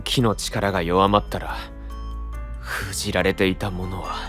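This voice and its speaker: A man's voice: sits low, flat delivery, measured pace, over music. An actor playing a serious, burdened young male knight, grave and restrained, in a fantasy voice drama. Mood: troubled, pondering.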